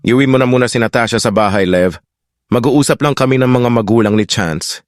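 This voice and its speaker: A man's voice: medium pitch, a little fast, no room sound, clean studio sound, close to an everyday voice. A man acting serious, subdued male roles in a Tagalog romance audio drama. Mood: grave, gentle.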